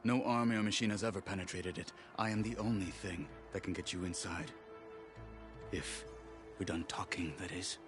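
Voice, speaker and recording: Male actor as grim warrior, very low voice, terse, vengeful, deadpan, video game dialogue, music behind. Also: normal speed, lightly acted. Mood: grim, resolute. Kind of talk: video game character acting.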